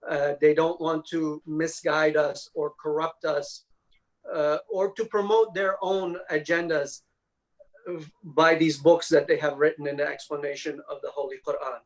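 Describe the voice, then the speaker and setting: A man with a slightly high-pitched voice lectures on religion in a scholarly style. He explains in measured, halting phrases, building each point piece by piece. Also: flat delivery, low-quality recording.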